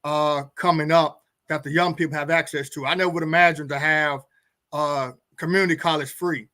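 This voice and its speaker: A middle-aged man with a fairly high voice talks earnestly in a talk-show conversation. He often doubles back on words as he works toward his point. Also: fairly even intonation, fairly quick, bright, forceful tone. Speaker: guest pastor and co-host.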